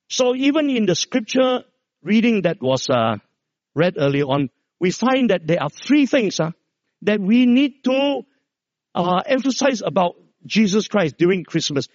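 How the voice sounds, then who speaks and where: A middle-aged man preaching a Christmas sermon, with a loud voice and a storytelling manner, dropping low to stress key words. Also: dry recording. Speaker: pastor. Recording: dull, dry lo-fi sound.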